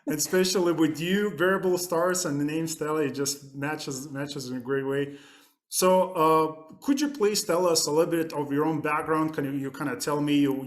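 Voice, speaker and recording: A man hosting a podcast, introducing his guest eagerly and asking rambling questions full of fillers. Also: fairly high pitch. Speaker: podcast host. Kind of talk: casual interview hosting.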